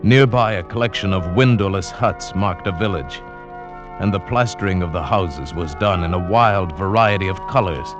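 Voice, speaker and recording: An actor narrating as a climber who survived a great fall, telling it dramatically in first person in an old radio drama, with music behind him. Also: male voice, deep voice, normal speed, lightly acted. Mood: tense. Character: adult male climber recounting his fall. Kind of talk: 1940s radio acting.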